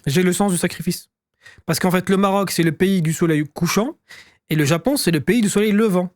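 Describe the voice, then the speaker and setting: A man in his thirties from France, co-hosting a podcast in loud joking banter, provocative and teasing, swearing a lot. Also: high-pitched, a little fast, no room sound, clean studio sound. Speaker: podcast co-host.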